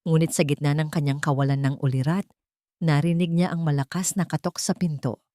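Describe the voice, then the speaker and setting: A woman's voice: low-pitched, steady tone, a little fast, warm tone, dry recording, clean studio sound. A woman with a Filipino accent narrating a dramatic scripted story.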